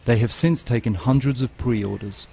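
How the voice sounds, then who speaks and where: A man reading scripted documentary narration in a steady, even storytelling manner. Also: mid-range voice, moderate pace, vocal fry, breathy edge.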